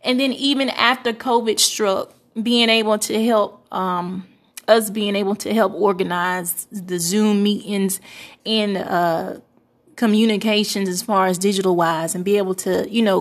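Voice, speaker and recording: Earnest young woman, church first lady. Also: medium pitch. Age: 20s. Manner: earnest, hesitant with many fillers. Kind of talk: interview answer, casual and reflective.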